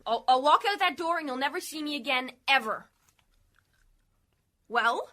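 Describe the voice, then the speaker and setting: A woman's voice: high-pitched, animated, moderate pace, bright, forceful tone. A woman playing a defiant, TV-obsessed teenage daughter in a radio comedy, whiny, slangy and argumentative. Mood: threatening, dramatic. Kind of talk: sitcom-style radio comedy acting.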